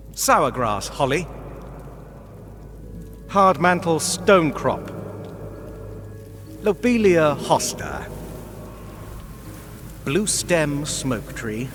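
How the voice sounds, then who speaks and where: An actor playing a stern, officious rabbit minister in a fantasy game, making formal, declamatory proclamations. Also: male voice, a little high, a bit bright, heavily acted. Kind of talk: dramatic fantasy game acting. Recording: long hall reverb, music underneath. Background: music.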